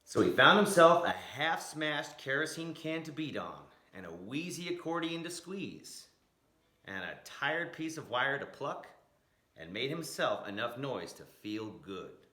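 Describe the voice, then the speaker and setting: A man's voice: mid-range voice, sing-song, unhurried, pushed and bright. A man reading a picture book aloud in a dramatic, emphatic way, drawing out key words. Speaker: storyteller, blues educator. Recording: echoey room.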